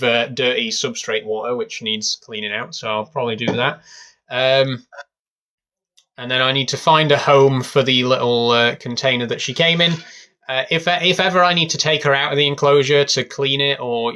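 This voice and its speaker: A young man chatting casually on a live stream from his bedroom, rambling with lots of fillers. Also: mid-range voice. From England. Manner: rambling, relaxed, many fillers. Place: bedroom at home.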